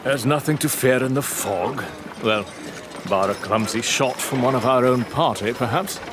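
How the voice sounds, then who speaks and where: A man's voice: mid-range voice, normal speed, background noise, natural voice. A man playing a haughty, defiant lord of the manor in a gothic audio drama, speaking in an imperious, sardonic, theatrical way. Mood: lightly mocking.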